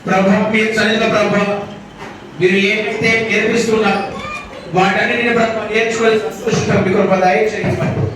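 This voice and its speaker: High-voiced man praying in echoing hall. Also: little pitch movement. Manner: halting, with repeated invocations. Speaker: prayer leader. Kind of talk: devotional prayer. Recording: echoey, distant hall with background noise.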